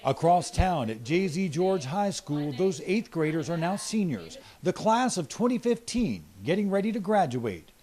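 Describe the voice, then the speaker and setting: A man's voice: high-pitched, big pitch swings, moderate pace, hardly any pauses, mellow. A man with an American accent, a TV news reporter reading scripted feature narration.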